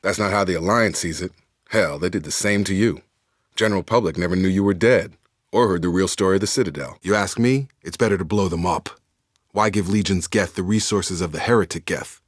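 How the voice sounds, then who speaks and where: A man's voice: sits low, brisk, dry recording, clean studio sound. A man playing a loyal, guarded young soldier in a sci-fi video game, speaking in an earnest, controlled, matter-of-fact way. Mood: firm, frank.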